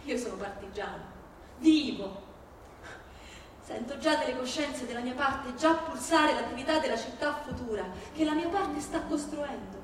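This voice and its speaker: A woman with a fairly high voice recites as if from a stage, in emphatic, measured phrases. She is heard at some distance in a very echoey space, with faint noise underneath. The delivery is dramatic and declamatory. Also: animated. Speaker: stage performer reciting a political text. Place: live theatrical reading in a reverberant space. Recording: echoey hall, far from microphone, faint noise.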